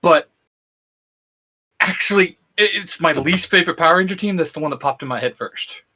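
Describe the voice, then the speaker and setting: Co-host, male, bright and somewhat high voice, earnest fan analyst. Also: fairly quick. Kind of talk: casual fan discussion.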